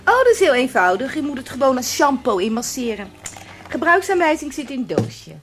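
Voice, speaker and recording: An obliging shop saleswoman in a radio drama, fairly high and very lively in intonation. Faint music plays behind her. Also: fairly quick. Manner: polite, obliging. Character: helpful, brisk shop saleswoman. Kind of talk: Dutch radio play acting.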